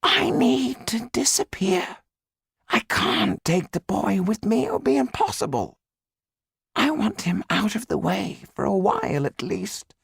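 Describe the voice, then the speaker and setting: Haunted audiobook magician, grave and secretive; very gravelly, slow, halting delivery. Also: male voice, big pitch swings, dry recording, studio quality, exaggerated voice. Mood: weary, resolute. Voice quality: high, raspy, creaky, breathy. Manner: slow, dramatic, halting storytelling. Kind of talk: audiobook character acting.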